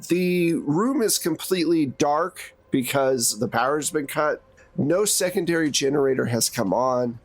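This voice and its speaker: A man with a voice a little higher than most runs a tabletop game. He talks casually and conversationally, improvising vivid scene descriptions as he goes. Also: animated, unhurried. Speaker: game master.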